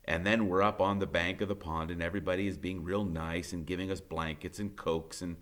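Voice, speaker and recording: A man's voice: sits low, fairly even intonation, fairly quick, fairly bright tone. A man reading a novel aloud as dramatic first-person narration, emphatic and suspenseful.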